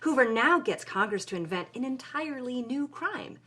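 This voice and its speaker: An actress playing an amused investigator, explaining events in conversational storytelling narration. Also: female voice, on the high side, expressive, moderate pace, fairly bright tone.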